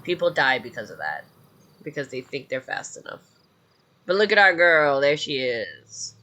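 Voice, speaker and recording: A man's voice: on the high side, sing-song, normal speed, pushed and bright. Congested young man chatting over gameplay. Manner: chatty and rambling, talking to viewers. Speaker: gameplay streamer. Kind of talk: casual let's-play commentary.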